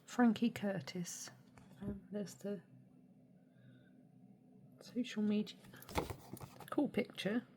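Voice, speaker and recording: Hoarse, soft-spoken woman unboxing. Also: mid-range voice, slow, long pauses. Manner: soft-spoken, often drops to a near whisper; mildly critical, hesitant with many ums. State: hoarse and snuffly from a cold. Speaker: art supply unboxing vlogger. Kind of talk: casual unboxing chat. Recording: steady background noise.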